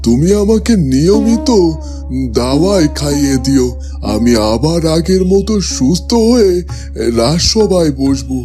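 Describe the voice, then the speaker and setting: A man's voice: on the high side, expressive, a little slow, mellow, soft background music. A man playing an ailing, elderly king in a cartoon, tender and sentimental in his speech. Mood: hopeful. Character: ailing elderly king, affectionate father-in-law, wistful and grateful. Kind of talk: cartoon voice acting.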